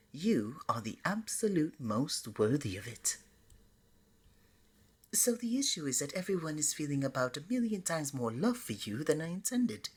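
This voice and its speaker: A woman voicing a flirty, affectionate god of love in a playful, coaxing ASMR romance roleplay, with a breathy delivery. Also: low-pitched, full character voice. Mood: sheepish, apologetic. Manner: coaxing, playful, pet names like sweetie and honey.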